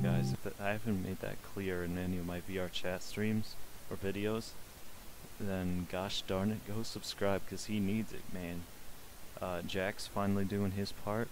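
A man's voice: low voice, a little hiss or hum, low-quality recording. A young man streaming a social VR game, chatting casually and politely pestering the strangers he meets. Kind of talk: casual gaming commentary.

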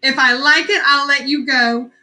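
A woman doing the Chupacabra, a slow menacing monster. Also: high voice, steady tone, bright, forceful tone. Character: menacing goat-sucking male monster under a bridge.

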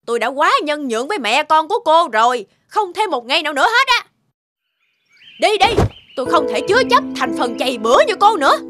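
A woman's voice: high-pitched, sing-song, brisk, fairly bright tone, soft background music. A woman playing a fed-up stout landlady in a cartoon, harsh, unyielding and scolding. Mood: angry. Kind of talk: dubbed cartoon acting.

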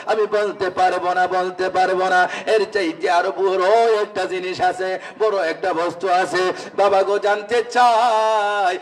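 High-pitched man preaching in chant. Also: far from the mic. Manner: chanting, repetitive, exhorting with drawn-out calls. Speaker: Islamic preacher. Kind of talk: impassioned religious sermon with half-sung storytelling. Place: religious gathering, amplified from a distance.

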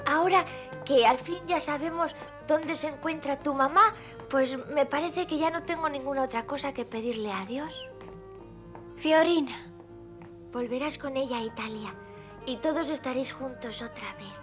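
A woman's voice: high voice, moderate pace, long pauses, natural voice. A woman in a Spanish cartoon dub voicing an earnest young boy searching for his mother. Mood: hopeful. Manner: earnest, hopeful. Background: music.

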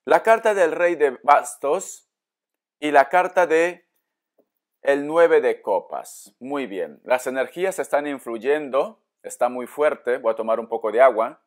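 A man's voice: medium pitch, lively intonation, a little slow. A man giving an informal tarot reading straight to viewers, explaining as he goes and answering his own questions. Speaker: tarot reader, host. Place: indoor room with books at a table.

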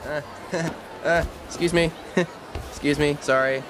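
An actor playing an earnest, anxious, quippy young superhero student in an audio drama. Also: male voice, a little high, steady tone, slow, crowd noise, background noise. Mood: flustered, eager. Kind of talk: audio drama acting with inner monologue.

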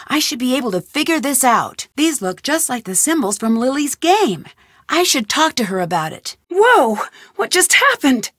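Curious young girl detective, bright pitch, animated delivery, dry booth sound. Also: dry recording. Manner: thinking aloud, inquisitive, lightly wry. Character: young female amateur detective, curious and determined. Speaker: video game protagonist. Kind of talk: studio game voice lines. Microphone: close studio mic.

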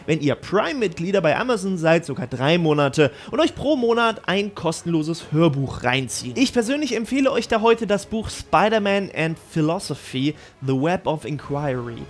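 A man hosting a video, delivering energetic scripted commentary and addressing viewers directly and enthusiastically. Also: fairly high pitch, moderate pace, few pauses. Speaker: YouTube host.

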